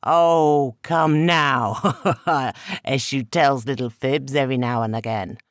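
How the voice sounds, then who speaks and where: A man's voice: mid-range voice, a little slow, clean tone, no echo, studio quality, lightly acted. Eshu, a man doing a sneering trickster spirit. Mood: taunting. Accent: halting, overpronounced. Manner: loud, taunting, playful. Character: mischievous male trickster spirit, sneering, boastful.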